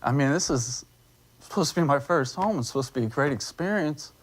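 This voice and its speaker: A man answering interview questions in a halting, conversational way. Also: a little high, lively intonation. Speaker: interviewee in a news report.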